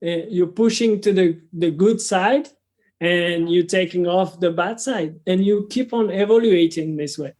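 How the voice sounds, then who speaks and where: A young man from France with a French accent, speaking English as a second language in a casual interview as a guest, often halting and restarting his sentences. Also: high voice, a little mellow. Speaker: guest, travel content creator.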